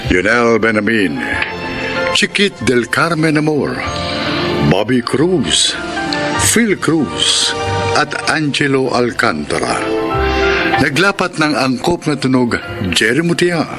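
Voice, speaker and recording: Slow-reading male announcer over loud music. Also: mid-range voice, sing-song. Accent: Filipino. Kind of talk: formal radio drama credit reading.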